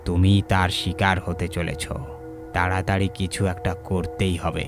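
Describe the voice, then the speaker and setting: Man acting a deep, solemn village priest. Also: monotone, music in the background. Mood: grave warning. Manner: slow, solemn, halting phrases. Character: grave village priest warning of a curse.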